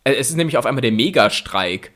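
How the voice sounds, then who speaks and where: A man's voice: mid-range voice, lively intonation, fast talker, fairly bright tone. A man co-hosting a casual comedy podcast, rambling and laughing through colloquial banter. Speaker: podcast co-host.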